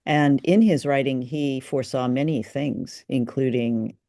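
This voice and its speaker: A woman's voice: rumbling low, steady tone, a little slow, warm tone, dry recording, studio quality. A woman co-hosting a podcast, chatting casually and explaining facts with a lightly teasing touch. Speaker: podcast co-host. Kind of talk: casual informative chat.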